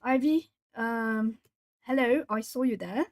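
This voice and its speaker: A woman with a southern Brazilian Portuguese accent talks casually to her stream as she colours, reading out viewers' comments and stopping to gather her thoughts. Her voice sits at a middle pitch. The recording is close and dry, with no room echo. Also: a little mellow. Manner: chatty, reads chat comments aloud, pauses to think. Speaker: art streamer. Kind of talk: casual chat while colouring.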